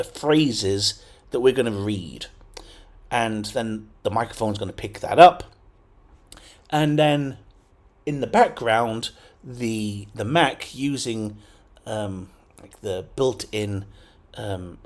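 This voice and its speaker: A man hosting a casual computer tutorial, talking through steps with frequent fillers and checks like "okay?". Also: mid-range voice. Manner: chatty, lots of fillers and okays. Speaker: tech tutorial host. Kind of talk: casual screen-recorded tutorial.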